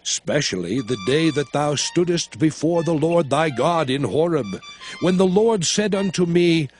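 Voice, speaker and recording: An actor playing an aged male prophet and lawgiver, exhorting his people in a solemn, measured, declamatory manner in a dramatized scripture recording. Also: on the high side, expressive, darkish tone. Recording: studio voice over a music score.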